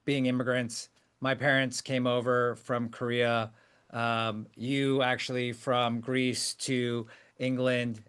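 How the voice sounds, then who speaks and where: A man's voice: medium pitch, smooth. Affirming male interview host. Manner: warm-hearted, affirming, frequent "hmm" and "wow". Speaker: podcast host, company CEO. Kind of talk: conversational interview.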